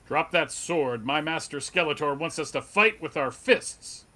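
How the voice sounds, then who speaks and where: Guy doing a cocky superhero from a comic, kinda high bright punchy voice, boasting and ready to fight. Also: measured pace. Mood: combative, boastful. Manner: boastful, declarative. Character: proud, combative male superhero in a comic book. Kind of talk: dramatic comic book table read.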